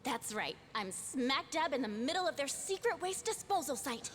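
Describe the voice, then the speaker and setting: A woman's voice: high-pitched, sing-song, moderate pace, a bit bright, a little hiss or hum. An actress playing a cheeky young female livestreamer in a video game, theatrical and mock-innocent as she addresses her viewers. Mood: perky, taunting. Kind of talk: livestream host acting in a video game cutscene. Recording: music behind the voice.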